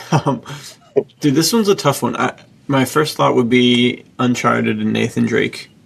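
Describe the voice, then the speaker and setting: A man co-hosting a podcast, chatting casually and enthusiastically in slangy language with a husky, gravelly voice. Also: medium pitch, unhurried. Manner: enthusiastic, slangy, says dude. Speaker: podcast co-host and publisher.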